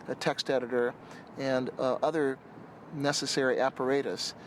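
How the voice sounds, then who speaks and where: A man's voice: mid-range voice, a little hiss or hum. A man in an interview, recounting technical history in an orderly, explanatory way. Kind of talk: interview answers.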